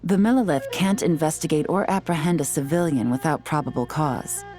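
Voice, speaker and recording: Low-voiced, composed female agent played by an actress. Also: fairly quick, darkish tone, soft background music, natural voice. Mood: composed, analytical. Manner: composed, instructive, assured. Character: cool, shrewd adult female intelligence agent, confident and composed. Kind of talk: video game voice acting.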